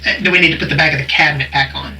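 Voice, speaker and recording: An actor playing a brisk, wry young studio sidekick with a casual manner in a naturalistic audio drama. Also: male voice, on the high side, fairly even intonation, bright, forceful tone, soft background music.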